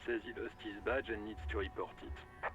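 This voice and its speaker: A man's voice: on the low side, steady tone, fairly quick, a bit bright, highs cut off, faint music behind, lightly acted. A man voicing a clipped police radio officer. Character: male police radio officer, formal and dutiful.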